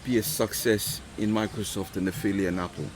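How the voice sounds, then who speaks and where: Low-voiced man, measured guest. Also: fairly even intonation, a crowd in the background. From Ghana. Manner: thoughtful, many restarts and fillers. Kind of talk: podcast interview.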